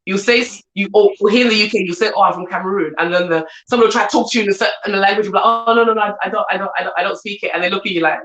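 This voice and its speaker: An earnest woman in her thirties speaks with a London accent. Her voice sits at a middle pitch and echoes around the room she is in. She talks in a loose, chatty way, full of "like" and "do you see what I'm saying". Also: racing, hardly any pauses, fairly bright tone. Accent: London English. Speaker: guest, coach and entrepreneur. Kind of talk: casual chat.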